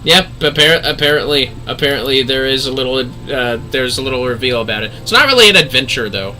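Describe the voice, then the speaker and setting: A man commentates on a game livestream in a very bright, forceful voice. His pitch is animated and jumps around as he exclaims and jokes. He talks casually, swears freely, and has faint game music behind him. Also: fast, rough recording. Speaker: livestream host.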